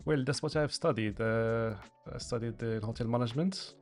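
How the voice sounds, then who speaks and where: A man in his thirties with a Moroccan accent, a hotel manager speaking calmly as a guest on a podcast, pausing often to think. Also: medium pitch, soft background music. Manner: calm, measured, many hesitations. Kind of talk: casual interview chat. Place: small podcast studio with paneled walls. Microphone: studio mic on boom arm, headphones.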